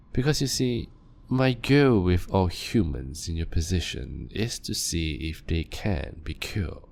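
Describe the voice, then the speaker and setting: Brief: vampire doctor, deep, unhurried, silky, toying with his patient. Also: creaky, full character voice. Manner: slow, silky, toying, with soft laughs. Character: male vampire doctor, calm, sadistic, clinical. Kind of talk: audio drama roleplay.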